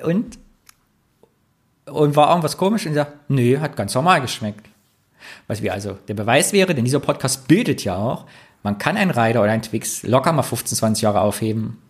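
A man's voice: medium pitch, animated, a little fast, breathy edge. A man co-hosting a casual podcast, reading listener comments aloud and telling anecdotes in a chatty way. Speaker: podcast co-host.

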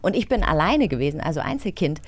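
A woman's voice: low-pitched, big pitch swings, fast talker, no echo. A woman co-hosting a podcast in a casual, playful style. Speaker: podcast co-host.

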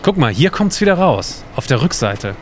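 A man's voice: on the high side, big pitch swings, moderate pace, very noisy. A man playing a curious, chatty time traveller in an educational audio drama, explaining things in a conversational way. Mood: curious, amazed. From Baltic coast, northern Germany.

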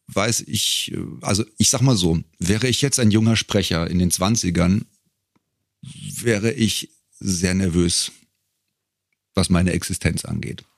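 Deep, gravelly middle-aged man. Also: dry recording, clean studio sound. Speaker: guest, professional voice actor. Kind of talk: casual interview talk.

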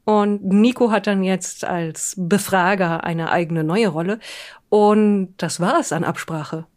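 A woman's voice: mid-range voice, takes it slowly, darkish tone. Female podcast co-host, mid-range voice, casual, unscripted, conversational.

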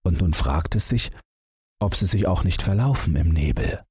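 A man's voice: low-pitched, lively intonation, normal speed, a little mellow, breathy edge, no echo. A man narrating a story as a scripted audiobook, close to the microphone.

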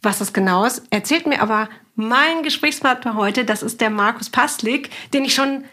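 Slightly husky woman hosting a podcast. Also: a little high, moderate pace, sharp tone. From Juist, North Sea island in Germany. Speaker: podcast host. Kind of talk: podcast narration and conversational interview.

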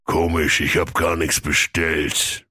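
A man voicing a huge, greedy male dragon who talks in a slow, unbothered drawl, in a children's storybook reading. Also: extremely low pitch, little pitch movement, sharp tone, husky, no room sound, studio quality, full character voice. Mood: smug.

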